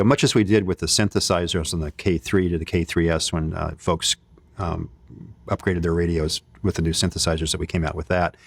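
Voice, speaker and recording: Low-voiced guy doing a techy product demo, explains stuff conversationally, really dry sound no echo. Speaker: company presenter demonstrating radio equipment. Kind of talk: informal product demo presentation.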